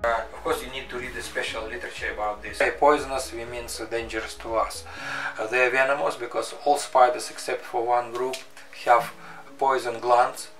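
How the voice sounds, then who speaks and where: Middle-aged male expert interviewee, hesitant delivery, sharp bright tone, picked up from across an echoey room. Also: mid-range voice, steady tone, a little fast. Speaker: museum curator of entomology, spider specialist. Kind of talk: explanatory interview. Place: book-lined office, indoors. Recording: echoey room, distant mic, faint music.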